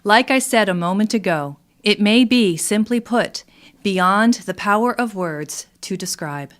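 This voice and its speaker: A woman's voice: medium pitch, expressive, unhurried. A woman reading scripted narration as a presenter for a radio theatre troupe.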